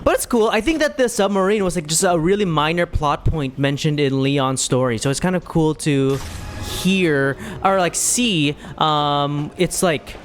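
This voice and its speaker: A man's voice: fairly high pitch, a little slow, faint music behind. A man giving casual, playful commentary as he plays a video game, rambling and reacting as he goes. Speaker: streamer playing a video game.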